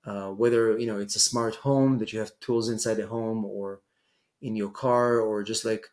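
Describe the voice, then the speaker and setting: Guest interviewee: middle-aged man, Israeli accent, lower pitch, halting unscripted delivery. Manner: hesitant, many fillers and restarts. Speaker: immigration attorney, guest. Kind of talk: casual interview conversation.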